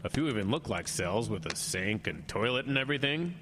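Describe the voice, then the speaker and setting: Man acting a grave, low-voiced suspicious man. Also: sing-song, brisk. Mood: grave, unsettled. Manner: probing, serious. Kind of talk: audio drama acting. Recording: radio drama with storm ambience noise.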